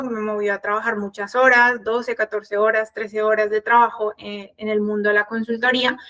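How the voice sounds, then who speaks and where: Casting: woman in her thirties, Colombian accent, fairly high voice, conversational online trainer and coach. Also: fairly bright tone. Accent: Colombian Spanish. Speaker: sales coach and consultant. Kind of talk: online workshop presentation, conversational.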